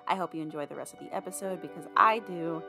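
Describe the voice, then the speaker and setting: A woman's voice: sits low, fast talker, creaky. A woman hosting a show, casually chatting to listeners in an episode introduction, with music under her voice. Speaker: host.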